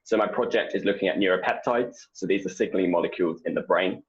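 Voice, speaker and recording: A young man from England with an English accent, answering interview questions in a relaxed, rambling way with lots of fillers. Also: deep voice, fast talker, muffled recording. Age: young adult. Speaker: postdoc researcher guest.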